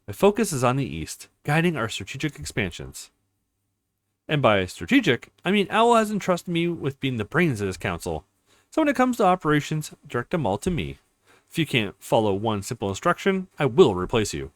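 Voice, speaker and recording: A man voicing a sharp, sadistic woman strategist in a visual novel, curt and threatening in manner. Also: mid-range voice, wildly swooping pitch, brisk, no echo. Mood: cold, commanding. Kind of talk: reading visual novel dialogue.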